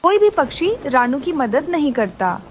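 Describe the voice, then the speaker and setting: A woman's voice: sits high, big pitch swings, moderate pace, slight background noise. A woman narrating a scripted children's story.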